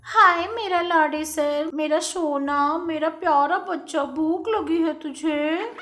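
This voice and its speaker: A woman voicing a cruel, greedy stepmother who scolds and shouts insults. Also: sits high, big pitch swings, unhurried, slight background noise, voice pushed up, heavily acted. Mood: cooing.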